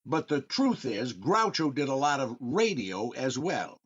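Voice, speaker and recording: A man's voice: medium pitch, sing-song, unhurried, a bit bright, husky, full character voice. A man playing a genial, mildly vain old-time radio comedian delivering a conversational monologue. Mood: genial, musing. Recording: dull, band-limited microphone.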